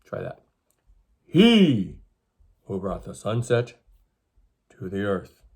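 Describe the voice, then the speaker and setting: A man reading a story aloud to schoolchildren in an expressive, teacherly way, with emphatic phrasing. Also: on the low side, slow, breathy edge. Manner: teacherly, emphatic, addresses listeners with questions.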